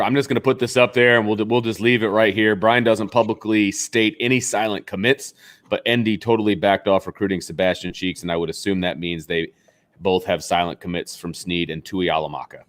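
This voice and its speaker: A man with a fairly low voice co-hosts a live football podcast. He reads viewer comments aloud in an easygoing way and backs up his partner with quick, short agreements. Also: fairly bright tone. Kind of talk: casual live-stream chat.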